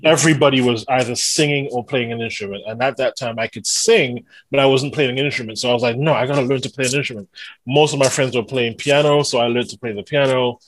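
A man's voice: medium pitch, fast, no room sound, low-quality recording. A London-born man, a singer and songwriter, talking enthusiastically as a podcast guest in casual conversation. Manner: enthusiastic and effusive, laughs easily. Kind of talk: casual interview chat.

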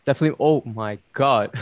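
A man's voice: mid-range voice, no room sound. A young man hosting a casual podcast chat, talking loosely with lots of fillers and frequent laughs. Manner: chatty, laughs often, many "like" fillers. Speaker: podcast host.

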